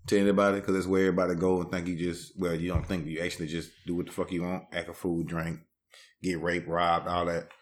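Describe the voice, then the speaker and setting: A young man from north Louisiana with a Louisiana accent, talking casually in a low-key, mumbling way that trails off. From Shreveport, Louisiana. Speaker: podcast co-host. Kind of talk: casual banter.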